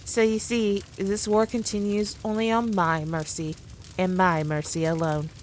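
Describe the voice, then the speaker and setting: A haughty demon queen, acted by a woman, addresses the listener in a mid-pitched voice. She is smug and dominant, taunting with playful condescension in an ASMR roleplay, over noticeable background ambience. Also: level tone, unhurried, slight background noise, close to an everyday voice, poor audio. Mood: haughty, menacing.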